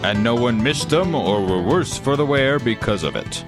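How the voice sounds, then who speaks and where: A man's voice: mid-range voice, animated, moderate pace, over music, lightly acted. A man playing a mock-serious, grandiose narrator of a comedy radio serial. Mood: solemn, grand. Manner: melodramatic, grandiose.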